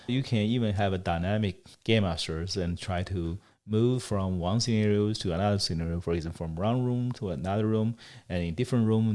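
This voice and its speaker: A man whose first language is Chinese, walking through a software demo in a tutorial, explaining step by step with frequent pauses and self-corrections. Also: lowish voice. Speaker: developer giving a product demo. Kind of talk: screen-recorded tutorial walkthrough.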